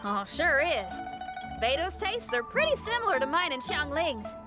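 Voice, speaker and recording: A woman's voice: high-pitched, sing-song, moderate pace. A woman voicing an easygoing, upbeat, folksy young female musician in a video game. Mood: emphatic. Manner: casual, folksy. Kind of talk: video game character voice acting. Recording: music playing behind the voice.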